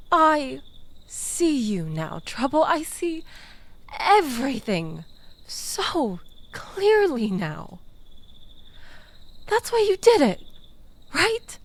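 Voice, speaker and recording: A woman playing a manipulative, tipsy, pleading ex-lover in an intimate audio roleplay, halting and dramatic with sighs and breathy drops toward a whisper. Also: high-pitched, exaggerated voice. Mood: earnest, insistent.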